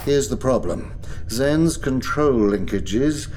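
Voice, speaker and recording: A man's voice: medium pitch, lively intonation, takes it slowly, a little mellow, slight background noise. An actor playing a cold, sardonic, commanding starship crewman with curt delivery in a science fiction audio drama. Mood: grim, dry. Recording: clear music behind.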